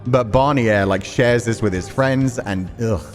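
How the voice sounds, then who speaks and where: A man's voice: medium pitch, measured pace, faint music behind. A man giving casual, candid game commentary, reacting as he goes and swearing freely. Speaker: streamer playing a visual novel. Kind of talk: casual game commentary with read-aloud text.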